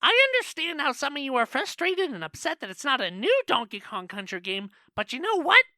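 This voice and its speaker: Cheeky male cartoon monkey, female actor, sassy talker, bright and very animated. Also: sits high, brisk, no echo, exaggerated voice. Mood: earnest, pleading. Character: cheeky thirty-year-old male cartoon monkey, chatty and opinionated. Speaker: co-host of a fan question show. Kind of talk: fan audio drama voice acting. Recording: dry voice over background music.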